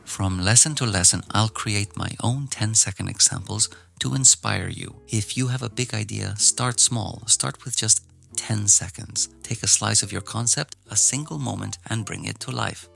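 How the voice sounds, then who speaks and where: A man from Iceland teaching an online filmmaking class in a relaxed, gently encouraging way, with some fillers when he talks off the cuff. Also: on the low side, normal speed, creaky, soft background music. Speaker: film teacher. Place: outdoors in nature. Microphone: phone recording nearby.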